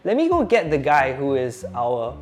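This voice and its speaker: A man presents products in an informal, explanatory way, pausing and feeling for his words. He sounds like a company spokesperson showing visitors around, with faint music behind him. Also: medium pitch, wildly swooping pitch. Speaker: company spokesperson, chief storyteller. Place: showroom office.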